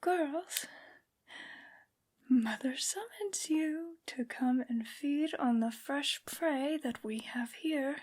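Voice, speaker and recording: A woman voicing a tall, haughty, menacing female vampire noble in a breathy, mostly whispered ASMR roleplay, imperious and scolding. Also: high-pitched. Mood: commanding, sly. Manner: imperious, slow, drawn-out scolding. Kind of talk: soft-spoken ASMR roleplay.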